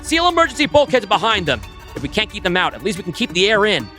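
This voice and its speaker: A man's voice: sits high, wildly swooping pitch, pushed and bright, faint music behind, pitched above the performer's own voice, heavily acted. A man voicing a stern older ship commander. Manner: firm, measured orders.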